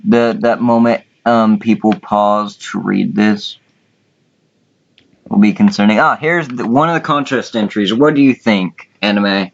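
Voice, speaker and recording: Male contest host, casual and sweary, unhurried delivery, muffled lo-fi voice chat audio. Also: on the low side, vocal fry. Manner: crude, swearing.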